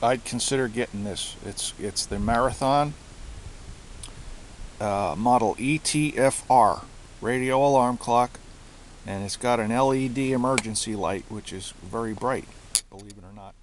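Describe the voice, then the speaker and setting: A man giving a casual show-and-tell of his gear, speaking haltingly and often repeating himself as he searches for words. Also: medium pitch. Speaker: hobbyist presenter. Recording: hissy amateur home recording.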